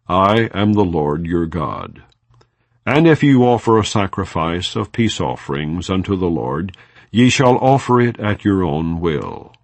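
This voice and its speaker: A man with a low voice reads scripture as an audiobook narrator. His delivery is slow, solemn and formal, with a lively rise and fall in pitch across the measured phrases. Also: no echo. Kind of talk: scripted scripture reading, non-dramatized.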